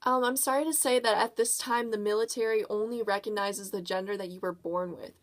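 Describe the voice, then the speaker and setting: A woman's voice: mid-range voice, steady tone, brisk, close to an everyday voice. A woman playing a polite male official answering questions in a puppet show. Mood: friendly, apologetic.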